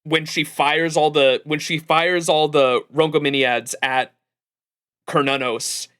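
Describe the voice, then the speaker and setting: A man's voice: a little high, steady tone, takes it slowly, fairly bright tone, clean tone. A man hosting a livestream, giving casual, effusive reaction commentary full of swearing. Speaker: livestream host.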